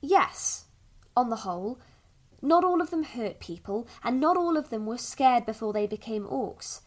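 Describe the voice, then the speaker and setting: Woman playing a patient mother, lightly high voice. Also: animated. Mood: thoughtful, explaining. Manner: careful, measured explaining with thoughtful hesitations. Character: patient, gentle mother explaining creatures to her young child. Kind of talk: fantasy audio drama dialogue.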